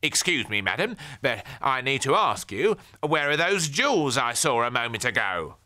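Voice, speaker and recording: A man's voice: mid-range voice, big pitch swings, bright, forceful tone, pitched above the performer's own voice. A man doing a nasal, barking nosy neighbour. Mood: flustered. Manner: slow, barking and snarling. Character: nosy, bullying middle-aged male neighbour.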